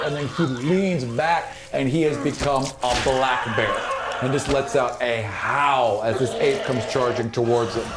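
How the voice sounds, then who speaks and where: A man running a tabletop fantasy game as game master, mixing dramatic, descriptive narration with casual asides. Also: mid-range voice. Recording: clear background noise, insect ambience.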